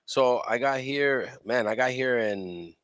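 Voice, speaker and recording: A man in his forties from Chicago chatting casually as an interview guest, slangy and a little halting. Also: mid-range voice, a bit bright, no echo. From Chicago, born in Puerto Rico. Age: 40s. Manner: informal, slangy, hesitant phrasing. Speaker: guest, event venue owner.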